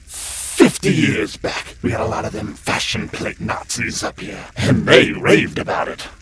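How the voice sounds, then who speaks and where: A man's voice: unhurried, a little hiss or hum, lightly acted. A man playing a glib, sleazy demon guide of hell in a comic dub, full of slangy, theatrical sales patter. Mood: gleeful, mocking. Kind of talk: comic-book dub voice acting. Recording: loud music under the voice. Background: prominent music.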